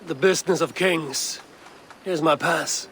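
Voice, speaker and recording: An actor playing a confident Viking warrior in disguise in a video game, speaking tersely with dry wit. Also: male voice, fairly high pitch, a little slow, fairly bright tone, a little hiss or hum. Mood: assured. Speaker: game protagonist. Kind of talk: video game cutscene acting.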